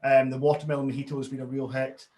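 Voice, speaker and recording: A man, a brand co-founder, chatting in a relaxed, friendly way with easy laughs. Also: mid-range voice, rapid-fire. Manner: friendly, laughs easily, many fillers. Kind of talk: casual interview chat.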